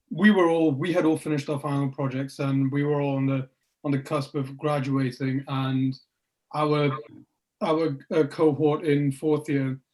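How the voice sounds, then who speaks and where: A man in a group interview describing his group's start, speaking haltingly and repeating words as he searches for phrasing. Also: fairly high pitch, darkish tone, poor audio. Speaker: member of a student collective.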